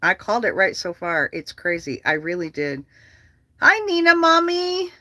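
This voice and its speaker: A livestream host chatting casually and rambling, with an animated, profane manner and a habit of repeating phrases. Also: medium pitch, normal speed, no room sound, clean studio sound.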